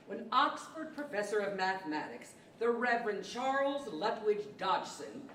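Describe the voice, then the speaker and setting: A woman announcing in a scripted old-time radio style, theatrical and deliberate, on a stand microphone in a large hall. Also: medium pitch, a bit bright, distant mic, a little hiss or hum. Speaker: announcer of a live audio theater show. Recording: echoey hall, picked up from afar.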